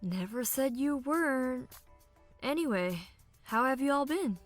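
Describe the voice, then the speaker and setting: A woman voicing a sensible, wry young illusionist student in an audio drama, measured and gently chiding. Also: sits high, animated, dry recording, faint music behind. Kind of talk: audio drama character banter.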